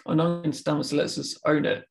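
A podcast host with a stammer talks in a casual, earnest way, leaning on filler words. His voice is strongly gravelly and flat in pitch, sitting a little high for a man. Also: fast. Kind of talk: casual conversation.

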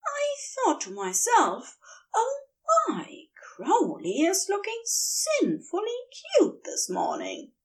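Woman reader playing a fond, flattering male lover, slow and teasing. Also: very high voice, far from the mic, voice pushed up, full character voice.

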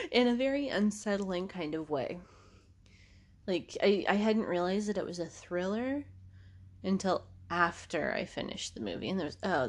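A woman, hosting a podcast in a casual, rambling monologue, congested from allergies and laughing often. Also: fairly low pitch. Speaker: podcast host.

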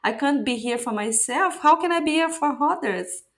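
A woman's voice: sits high, lively intonation, normal speed. Earnest woman host, Portuguese native speaker. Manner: reflective, earnest, many fillers and restarts. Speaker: podcast host. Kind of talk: casual conversational interview.

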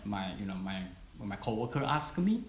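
An older man from Taiwan whose first language is Taiwanese, telling his story in halting English with many restarts as an interview guest. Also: lowish voice, very animated, echoey room, a little hiss or hum. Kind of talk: casual conversational storytelling.

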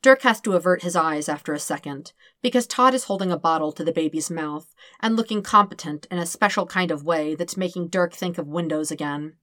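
A woman's voice: lowish voice, brisk, few pauses, fairly bright tone, no echo, clean studio sound. A woman reading scripted fiction as an audiobook narrator.

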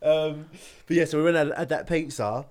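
A man's voice: fairly high pitch, fairly even intonation, fairly quick, darkish tone. A young man, co-hosting a podcast in casual banter, rambling, laughing often and restarting his sentences. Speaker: podcast co-host.

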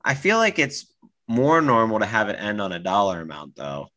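A man co-hosting a laid-back podcast, his low voice cutting through with a bright, forward edge. He talks in an easygoing, roundabout way, hedging and restarting as he goes. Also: sing-song, normal speed. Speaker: podcast co-host. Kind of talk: casual chat.

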